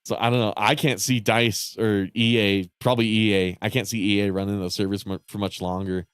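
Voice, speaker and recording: A man's voice: fairly low pitch, level tone, a little fast, clean tone, dry recording, clean studio sound. Nasal, congested male streamer rambling. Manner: rambling, swears often, laughs between thoughts. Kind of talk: casual chat while livestreaming a game.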